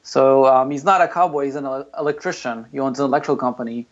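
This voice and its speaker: A man in his thirties, originally from Ukraine, recounting his background to a podcast host in a relaxed way with fillers. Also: medium pitch, fast, muffled recording. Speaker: guest. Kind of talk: casual interview.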